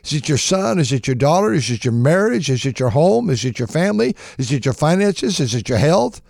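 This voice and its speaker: A man with a raspy voice preaching an impassioned sermon, stammering and restarting, then surging into shouted praise. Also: on the high side, big pitch swings, fairly quick, dry recording, clean studio sound. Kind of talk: fervent radio sermon.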